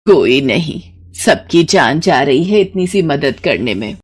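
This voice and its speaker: A woman voicing a poor, worried elderly village mother-in-law who talks in a weary, plaintive, blunt way in an animated story. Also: sits low, measured pace, breathy edge, voice pushed down, full character voice. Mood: bitter, dejected. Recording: noticeable background noise.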